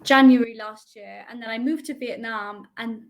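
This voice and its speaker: A woman's voice: fairly high pitch, steady tone, normal speed. A young woman from the UK who teaches English, chatting casually on a video call with lots of ums and hesitations. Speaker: online English tutor.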